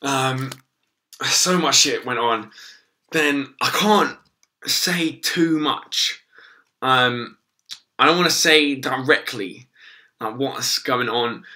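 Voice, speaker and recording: A young man, hoarse from losing his voice, rambling casually through a live stream with lots of ums and swearing. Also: mid-range voice, takes it slowly, long pauses, pushed and bright. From England.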